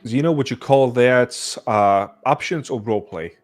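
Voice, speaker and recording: A man's voice: mid-range voice, normal speed. A man hosting a casual discussion, steering the conversation between panelists. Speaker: host moderating a discussion. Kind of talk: casual podcast moderation.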